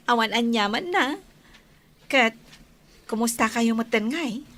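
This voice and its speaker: A woman playing a bubbly, teasing best friend in an Ilocano radio drama, with a drawn-out delivery. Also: fairly high pitch, animated, a bit bright. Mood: delighted, teasing.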